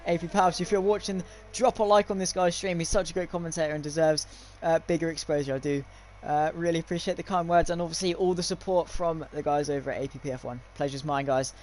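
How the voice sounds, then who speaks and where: Young male esports race commentator, high-pitched voice, informal streamer delivery, faint noise behind. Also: steady tone, fast talker, few pauses, darkish tone. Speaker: sim racing commentator and streamer. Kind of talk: live esports race commentary with casual chat.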